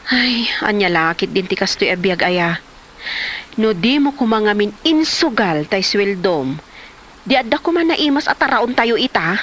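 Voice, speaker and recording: A woman's voice: medium pitch, lively intonation, unhurried, a bit bright, a little hiss or hum. A woman acting a worn-down, thrifty wife of a gambler in an Ilocano drama. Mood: complaining. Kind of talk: Ilocano comedy drama dialogue.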